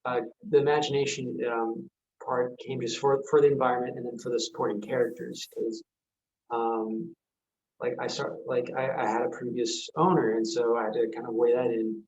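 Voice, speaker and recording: A man answers as a guest on a remote panel, his sound a little dull from the video call. His voice is average in pitch. He speaks casually and haltingly, searching for his words as he goes. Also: flat delivery, darkish tone, a little hiss or hum. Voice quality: hesitant, halting manner.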